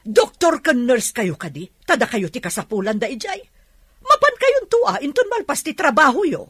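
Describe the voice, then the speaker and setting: A woman's voice: sits high, sing-song, moderate pace, fairly bright tone, no room sound, clean studio sound. A woman acting in a radio drama as a stern, stingy, unfeeling boss. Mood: stern, scolding.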